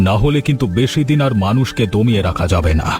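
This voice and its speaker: A man's voice: medium pitch, animated, fast talker, close to an everyday voice. A man voicing a ruthless, power-hungry village headman, a commanding and menacing cartoon villain. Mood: cunning, commanding. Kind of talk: Bengali cartoon villain acting. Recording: music behind the voice.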